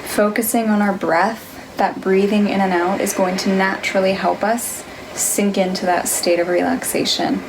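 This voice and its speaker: A woman leading a guided meditation, giving gentle, unhurried instructions in a soothing manner. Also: medium pitch, level tone, background noise. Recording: music behind the voice. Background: music.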